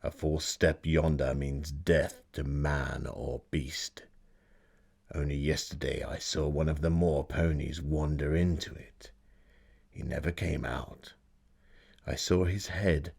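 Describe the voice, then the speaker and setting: Male actor as sinister Victorian moorland villain, extremely low, gravelly creak, insinuating, menacing, mystery drama. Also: darkish tone, full character voice. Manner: slow, ominous, insinuating.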